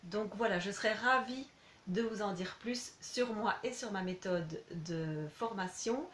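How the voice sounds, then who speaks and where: Woman, language tutor's informal self-introduction, mid-range pitch, halting phrasing, roomy echo. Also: a bit bright. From Belgium. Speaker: language teacher introducing herself. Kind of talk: informal self-presentation to prospective students. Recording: roomy echo, clear background noise.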